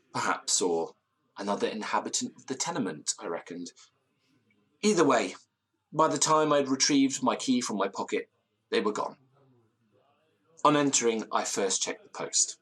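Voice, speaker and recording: Self-important old-fashioned gentleman, slightly husky, florid, theatrical, excitable, audio drama storytelling. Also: mid-range voice, low-quality recording. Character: pompous, excitable Victorian-style gentleman storyteller.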